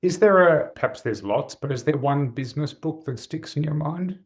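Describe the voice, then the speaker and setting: A man hosting an interview podcast, asking brisk, upbeat questions. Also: a little high. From Australia. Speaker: podcast host.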